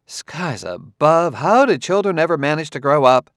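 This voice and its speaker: A man reading an audiobook, voicing a brisk, matter-of-fact woman who runs a children's farm, easily exasperated. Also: a little high, sing-song, fairly bright tone, no echo, pitched above the performer's own voice. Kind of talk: audiobook character voice.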